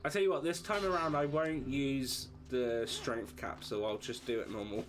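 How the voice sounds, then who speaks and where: A man's voice: medium pitch, normal speed. A man streaming a video game live, chatting casually, swearing freely and laughing often. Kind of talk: casual live gameplay commentary. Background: game music.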